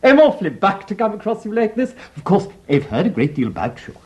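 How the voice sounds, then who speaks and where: Gushing English parson with swooping voice. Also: male voice, high-pitched, big pitch swings, fast talker. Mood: effusive, chatty. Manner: gushing, hesitant, over-polite. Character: fussy, effusive male English parson on a motorbike holiday. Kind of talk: theatrical farce acting.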